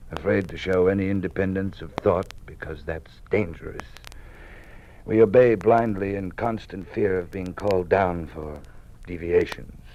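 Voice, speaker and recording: Man playing a low-voiced, weary old college dean. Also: a little mellow, lightly acted. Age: older. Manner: measured, formal, fatherly. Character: weary, cautious old male college dean living in fear. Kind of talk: old-time radio drama acting. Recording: noisy vintage radio drama recording.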